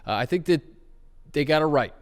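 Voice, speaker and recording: A man's voice: fairly high pitch, expressive, a little fast. A man hosting a football podcast, steering a casual chat with questions. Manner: guides the talk with questions. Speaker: podcast host. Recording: long hall-like reverb.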